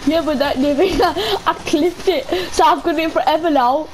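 Excitable teen gamer, really high voice, pleading with friends, noisy game chat. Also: a little slow. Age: teenager. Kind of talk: excited chat while playing an online game.

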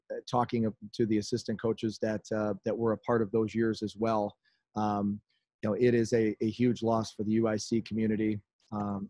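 Halting coach on a dry video call. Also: male voice, fairly low pitch, warm tone, no echo. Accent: Chicago-area American. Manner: halting, many restarts and fillers. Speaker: head basketball coach. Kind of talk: postgame press conference. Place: indoors in front of a team backdrop. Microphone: video call webcam.

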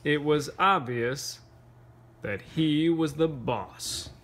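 A man reading a picture book aloud to children, playfully, pausing for casual questions and asides. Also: fairly high pitch, a bit bright. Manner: playful, pauses to ask questions. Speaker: read-aloud storyteller.

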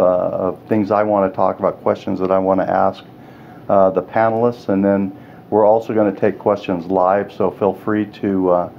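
A man's voice: low voice, vocal fry. A man in his sixties moderating a panel, hesitant with many fillers. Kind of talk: panel discussion. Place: hotel room studio set. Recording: dull sound, faint room hiss.

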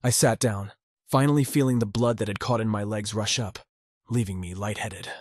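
A man playing a cynical, anxious high school boy, narrating a visual novel in a deadpan, grumbling way. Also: normal speed, dry recording, clean studio sound, lightly acted. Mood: anxious, sardonic. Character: cynical, anxious, foul-mouthed male high school student. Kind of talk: first-person visual novel narration and dialogue.

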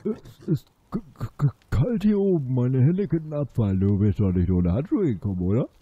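A man's voice: mid-range voice, big pitch swings, normal speed, warm tone, slightly rough, dry recording, lo-fi sound, voice pushed up. A man doing a freezing, stammering villager. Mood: shivering. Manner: stuttering, shivering.

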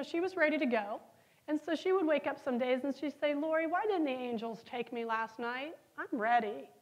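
A middle-aged woman giving a conference keynote on stage, telling stories and asking the audience questions. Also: high voice. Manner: lively storytelling, asks the audience questions. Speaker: keynote speaker. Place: large conference hall, on stage.

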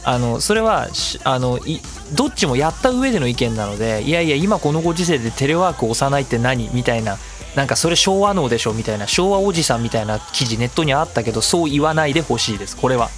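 A man's voice: medium pitch, lively intonation, quick pace, hardly any pauses, soft background music. A man hosting a casual solo web radio show in speech, chatty and given to acting out imagined remarks. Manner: talkative, acts out imagined remarks mid-talk.

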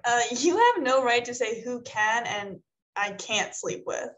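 A woman's voice: fairly high pitch, animated, a little slow, a bit bright. A woman playing a blunt, independent friend in a sitcom table read. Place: indoor room, on a video call. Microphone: video call microphone.

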